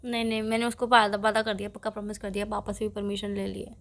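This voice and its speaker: A woman's voice: mid-range voice, monotone, fast talker, lightly acted. A young woman playing a devoted but stubborn girlfriend, pleading and protesting in a conversational phone-call skit. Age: young adult.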